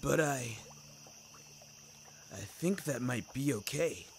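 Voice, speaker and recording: Man putting on an excitable bro-ish werewolf dude. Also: mid-range voice, slow, a little hiss or hum. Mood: relieved.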